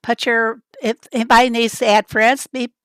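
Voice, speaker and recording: A woman hosting a casual livestream, chatty and rambling as she greets viewers from the chat, her voice raspy and hoarse from a summer cold. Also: on the high side, little pitch movement, moderate pace, fairly bright tone, dry recording, studio quality. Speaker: livestream host.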